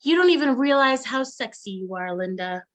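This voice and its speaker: A woman performing a stand-up comedy routine with cocky, teasing delivery and drawn-out pauses. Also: high-pitched, animated.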